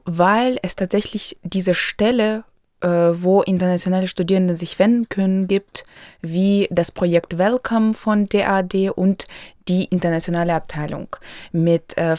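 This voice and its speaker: A young woman tells her own story as an international student, in a slightly low voice. She talks hesitantly, often pausing and filling gaps with soft fillers. The sound is dry and close, with no room echo. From Ukraine. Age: 20s. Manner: hesitant, frequent pauses and fillers. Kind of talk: personal account.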